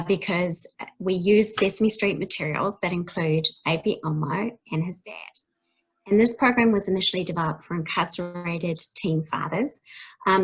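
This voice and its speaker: A woman from New Zealand with a New Zealand accent, giving a measured academic webinar talk as a child development researcher. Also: fairly low pitch, husky, rough recording. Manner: measured academic, with frequent ums. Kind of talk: webinar presentation.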